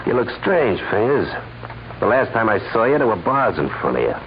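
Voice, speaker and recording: A man's voice: fairly low pitch, very animated, fairly quick. An actor playing a sly, smug holdup man with coy hesitations and chuckles in a 1940s radio crime drama. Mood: sly, teasing. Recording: muffled, hissy 1940s radio recording.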